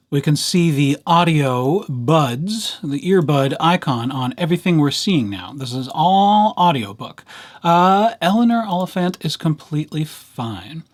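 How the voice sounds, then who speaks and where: A man presenting a casual software tutorial as a host, with playful, dryly humorous asides. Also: on the high side.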